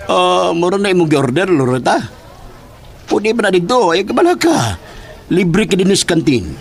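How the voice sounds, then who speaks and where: A man's voice: high-pitched, big pitch swings, moderate pace, gravelly, breathy edge, full character voice. An actor playing a watchful, chatty man in an audio drama. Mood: flirty, chatty. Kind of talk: audio drama dialogue in Cebuano.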